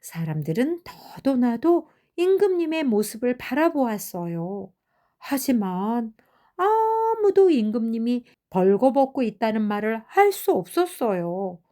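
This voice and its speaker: An older woman tells fairy tales to children. Her voice is high and moves up and down expressively, at a slow, gentle storyteller's pace. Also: very animated.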